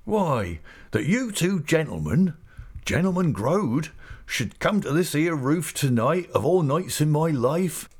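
A man voicing a rough, big-hearted seafaring uncle in an audiobook, effusive and excited, with a rustic East Anglian accent. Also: a little high, takes it slowly, voice pushed up, full character voice. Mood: jubilant, tender. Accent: East Anglian English rustic dialect. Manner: rapturous, effusive, breathlessly excited. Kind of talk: character voice in audiobook reading.

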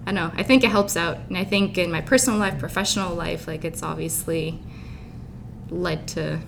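A young woman who grew up in Canada and Dubai, chatting informally and openly as an interview guest. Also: brisk. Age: young adult. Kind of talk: casual chat. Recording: clear background rumbling noise.

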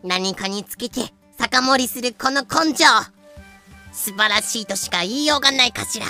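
Casting: prim little lecturer voiced by a woman, bright crisp-edged tone, didactic, quirky phrasing, music underneath. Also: on the high side, moderate pace. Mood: wry. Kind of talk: fan-made Japanese voice drama acting.

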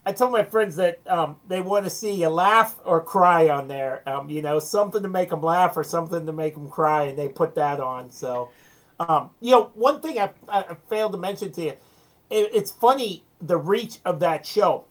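A man's voice: high-pitched, moderate pace, fairly bright tone. Chatty American man telling business anecdotes. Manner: chatty, anecdotal, self-deprecating. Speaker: interview guest, small business owner. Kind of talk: casual interview storytelling.

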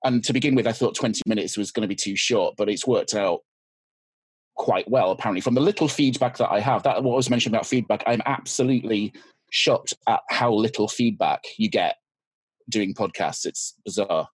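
A man's voice: fairly low pitch, fairly quick, slightly rough, no echo. A man, a teacher, chatting as a podcast guest in a wry, self-deprecating way. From United Kingdom. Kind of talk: casual interview chat.

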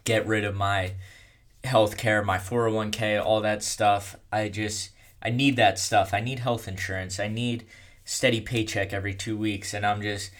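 A young man telling his own story in a casual, rambling way, with a monotone delivery and lots of fillers. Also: on the low side, normal speed. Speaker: content creator.

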